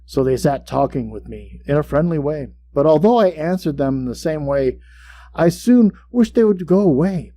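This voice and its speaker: A man playing an obsessive, boastful mad murderer who narrates a gothic horror tale in a dramatic, deliberate way. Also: on the high side, expressive, close to an everyday voice. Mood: feigned calm. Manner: dramatic, deliberate, swinging between hush and outbursts. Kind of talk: theatrical gothic horror storytelling. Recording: clear background hum and noise.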